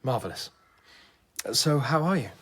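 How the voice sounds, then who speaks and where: A modest young male doctor in a radio play, husky voice, earnest and a bit hesitant. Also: mid-range voice, lively intonation, a little slow, a bit bright, dry recording. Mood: polite, bashful. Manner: earnest, hesitant, self-effacing. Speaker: actor in a radio drama. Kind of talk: naturalistic radio drama acting.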